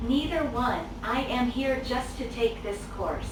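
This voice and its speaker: Cheerful female student voiced by a woman, slightly high voice, very echoey and distant. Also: unhurried. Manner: even, textbook phrasing. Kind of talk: scripted English dialogue video. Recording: roomy, distant, noisy playback.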